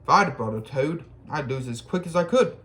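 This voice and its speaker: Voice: mid-range voice, expressive, normal speed, fairly bright tone, close to an everyday voice. A reader voicing a casual, blunt young schoolboy in a storybook, close to the reader's own voice. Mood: offhand.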